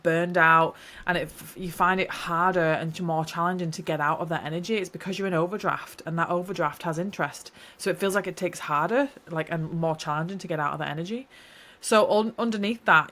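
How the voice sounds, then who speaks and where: A woman's voice: lowish voice, a bit bright. A young woman hosting a solo podcast, a little nasal and stuffy from hay fever, talking in an earnest, rambling, heartfelt way. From New Zealand. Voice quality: slightly nasal. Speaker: podcast host. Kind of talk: casual solo podcast talk.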